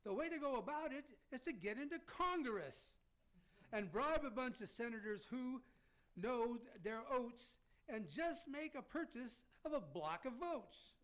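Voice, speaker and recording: A man's voice: extremely high pitch, expressive, unhurried, a bit bright, full character voice. A man playing a folksy, scheming villain with a Southern US accent and a drawl in an amateur rhymed radio play. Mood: sly, folksy.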